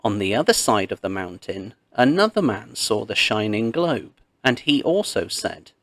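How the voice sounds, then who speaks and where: A man reading a myth aloud as a storybook narrator, expressive and measured, with pauses. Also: lowish voice, no room sound.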